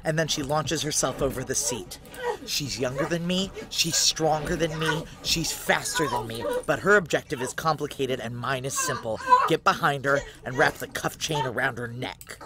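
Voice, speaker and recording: A woman's voice: deep voice, normal speed, lightly acted. An actress playing a desperate, defiant security woman on the run in an audio drama, with an intense, raw delivery. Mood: taut narration. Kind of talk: audio drama acting with noir first-person narration.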